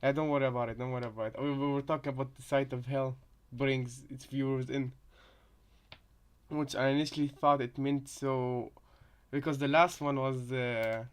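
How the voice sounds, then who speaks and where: A man's voice: medium pitch, fairly even intonation, normal speed, clean tone. Rambling male reactor, casual commentary. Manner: rambling, repeats and reworks phrases. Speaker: reaction video host.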